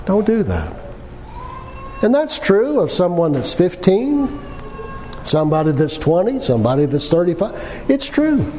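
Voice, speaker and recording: A man's voice: high-pitched, wildly swooping pitch, measured pace, pauses often, mellow. A man in his sixties preaching a sermon in a folksy, conversational way, stressing points through repetition and rhetorical questions. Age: 60s. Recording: long hall reverb with background noise.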